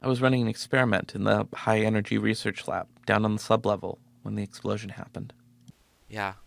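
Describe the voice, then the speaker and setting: A man's voice: fairly low pitch, flat delivery, a little fast, creaky, dry recording. A man playing a troubled lab scientist in a video game, explaining things in a serious, hesitant way. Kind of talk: naturalistic game dialogue acting.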